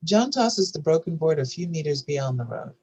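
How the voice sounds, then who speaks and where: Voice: mid-range voice, expressive, moderate pace, darkish tone, breathy edge, low-quality recording. A narrator reading scene headings and stage directions from a screenplay in a scripted style. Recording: faint background noise and room ambience.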